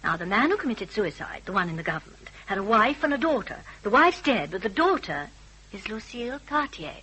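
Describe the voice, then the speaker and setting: A woman's voice: medium pitch, sing-song, brisk, sharp tone, husky, exaggerated voice, poor audio. A woman playing a breezy British detective's assistant in a radio drama, chatty and flippant, with wry theatrical exaggeration. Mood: gossipy, triumphant.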